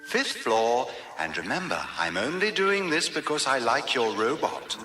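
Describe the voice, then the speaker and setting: An actor playing a neurotic, sulky talking elevator in a radio sci-fi comedy, anxious and fussy. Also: male voice, medium pitch, big pitch swings, unhurried, over loud music.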